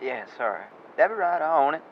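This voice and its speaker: An actor playing a plain-spoken rural farmer and landowner, rambling in folksy speech in an amateur radio drama. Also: male voice, fairly high pitch, expressive, brisk. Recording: dull lo-fi with faint noise.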